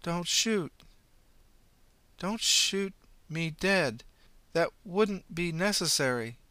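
A man voicing an eerie possessing entity that speaks through other people, slow, halting and detached. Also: sits high, expressive, sharp tone, dry recording, pitched above the performer's own voice, full character voice. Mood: wary. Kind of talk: audiobook character voice.

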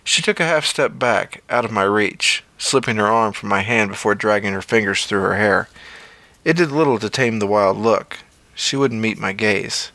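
A man's voice: on the low side, normal speed, bright, forceful tone, no room sound. A man narrating a scripted first-person story as an audio fiction reader.

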